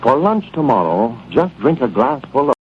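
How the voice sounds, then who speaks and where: Folksy 1940s radio pitchman. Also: sing-song, normal speed. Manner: folksy, chatty sales talk. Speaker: radio announcer. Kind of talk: 1940s radio commercial announcing. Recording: dull old radio broadcast with faint hiss.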